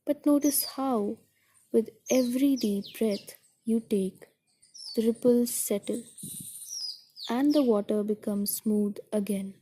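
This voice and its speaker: A woman guiding a meditation in a soothing, gentle, deliberate way, with a soft, breathy voice that trails toward a whisper. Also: a little high, a little hiss or hum. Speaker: meditation guide. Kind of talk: guided meditation narration.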